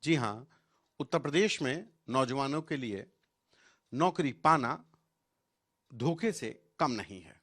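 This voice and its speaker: A man's voice: medium pitch, animated, takes it slowly, pauses often, a bit bright. A man narrating a scripted television news report as a reporter.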